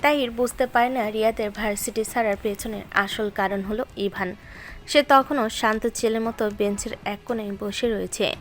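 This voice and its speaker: A woman's voice: fairly high pitch, fairly even intonation, moderate pace. A woman reading scripted story narration as the narrator, with music playing underneath.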